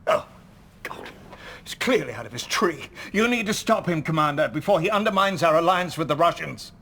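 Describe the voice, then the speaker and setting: An actor playing a middle-aged male leader who speaks in a brisk, exasperated way. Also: on the high side, lively intonation, fairly bright tone, a little hiss or hum. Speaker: allied leader.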